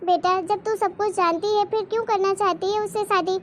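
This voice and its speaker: Woman as middle-aged cartoon mum: proud, protective, emphatic, very high voice, light background noise. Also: steady tone, fairly quick. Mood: concerned. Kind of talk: Hindi cartoon moral-story dubbing.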